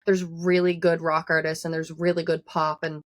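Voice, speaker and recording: A woman from Newfoundland talks easily as a guest, with a faint Newfoundland accent under her words. Her voice sits a little low for a woman. The sound is close and dry, with no room around it. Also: level tone, moderate pace, dry recording. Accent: Newfoundland, softened. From Newfoundland, Canada. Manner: chatty, uses many likes and I means. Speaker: guest singer-songwriter. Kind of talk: casual interview.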